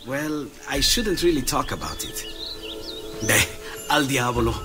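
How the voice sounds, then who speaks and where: An actor playing an excitable, friendly Renaissance inventor with an Italian accent in a video game. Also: male voice, mid-range voice, unhurried, close to an everyday voice. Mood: eager, secretive. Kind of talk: video game cutscene acting. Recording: music behind the voice.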